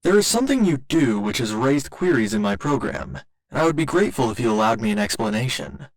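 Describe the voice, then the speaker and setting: Man voicing a gentle, formal android boyfriend. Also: medium pitch. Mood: polite, earnest. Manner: formal, precise, careful wording with occasional glitchy stutters. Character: gentle, polite android boyfriend, earnest and naively literal. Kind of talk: ASMR roleplay.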